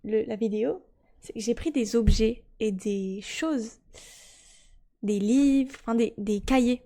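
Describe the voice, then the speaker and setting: A woman's voice: a little high, slow, mellow. Chatty young woman streaming. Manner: chatty, rambling, self-correcting. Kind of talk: casual chat while gaming.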